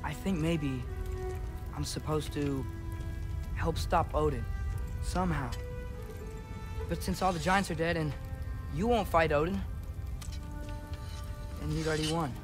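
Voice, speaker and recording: Man acting earnest high-voiced teenage boy demigod. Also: unhurried, pauses often, music in the background, lightly acted. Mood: hesitant, hopeful. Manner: earnest, pleading. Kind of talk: video game cutscene acting.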